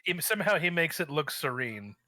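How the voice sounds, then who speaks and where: A man's voice: on the high side, sing-song, fairly quick, bright, forceful tone, no room sound. A man co-hosting a livestream in casual banter, playfully riffing with mock seriousness. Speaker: guest co-host.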